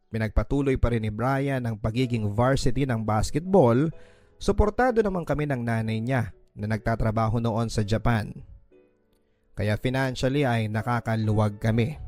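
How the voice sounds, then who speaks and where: A man with a Filipino accent tells a first-person story as a radio drama narrator. His voice sits at a medium pitch. Music runs clearly behind him. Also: darkish tone. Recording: background music.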